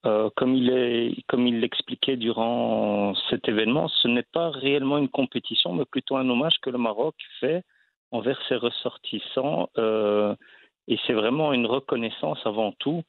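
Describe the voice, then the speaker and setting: A man from Belgium speaks in a gravelly, fry-laden voice, pausing often with fillers and restarts as he tells his story. The sound is dry and tinny, like a phone line, with no room around it. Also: medium pitch, no room sound. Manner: hesitant, many fillers and restarts. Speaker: actor, guest. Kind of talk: casual interview.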